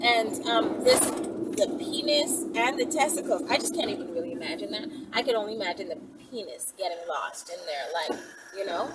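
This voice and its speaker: A woman's voice: mid-range voice, lively intonation, normal speed. A woman hosting a casual, improvised question-and-answer video, chatty and thinking aloud, often checking in with her listeners. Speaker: online video host answering viewer questions. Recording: distant mic, loud vehicle-like background noise.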